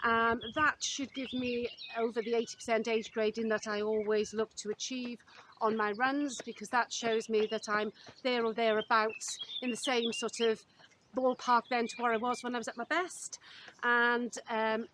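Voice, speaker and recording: Woman, 50s, from Wales, high voice, upbeat casual chat, noisy background. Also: level tone, moderate pace, fairly bright tone. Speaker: running channel co-host.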